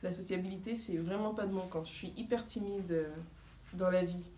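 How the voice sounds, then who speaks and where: A woman's voice: on the low side, fairly even intonation, a little fast, warm tone, roomy sound, a little hiss or hum. A woman in her twenties, born in Guinea and raised in France, chatting casually and giggling as a vlogger. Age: 20s. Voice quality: giggly, rambling. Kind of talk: casual chat.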